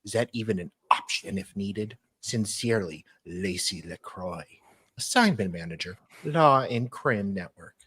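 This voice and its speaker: Very husky man chatting casually. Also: lowish voice, expressive, a little slow, fairly bright tone. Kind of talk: casual discussion, reading emails aloud.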